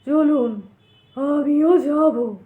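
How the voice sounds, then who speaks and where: A woman's voice: high voice, drawn-out words, roomy sound, phone-quality sound. A woman voicing an eerie, huge goat-faced stranger in a ghost story, curt and ominous, with a hollow voice. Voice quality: hollow, as if from inside a pot.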